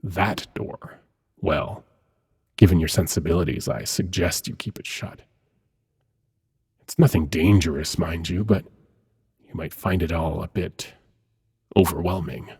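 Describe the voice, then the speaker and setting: Man as a very deep, husky demon prince. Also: full character voice. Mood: calm, amused. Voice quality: husky, breathy. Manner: slow, hushed, dramatic pauses, coaxing. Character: seductive male demon prince, ancient, suave and teasing. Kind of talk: ASMR roleplay, intimate second-person monologue.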